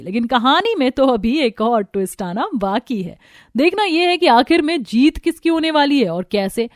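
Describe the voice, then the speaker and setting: A woman narrating a family cartoon story in a lively storytelling way. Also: fairly high pitch, dry recording, studio quality.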